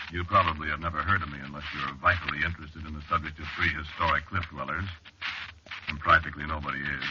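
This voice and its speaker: An actor playing a wry, stubborn researcher, telling his story in a measured, conversational way on a 1940s radio drama. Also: low-pitched, lightly acted. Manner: conversational, measured, dry understatement. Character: male cliff-dwelling researcher, wry, confiding, stubbornly unafraid. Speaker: narrator and lead actor. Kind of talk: 1940s radio drama first-person narration. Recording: muffled, noisy vintage radio recording.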